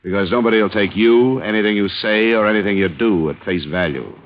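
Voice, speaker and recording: An actor playing a hard-boiled insurance investigator who also narrates, cool and terse, in 1950s radio drama style. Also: male voice, on the low side, brisk, lightly acted. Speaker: detective and narrator. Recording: old lo-fi radio broadcast with music.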